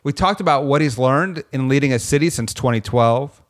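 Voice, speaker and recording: A man co-hosting a podcast, reading a scripted intro and then chatting in a friendly, relaxed way. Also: mid-range voice, normal speed. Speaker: podcast co-host.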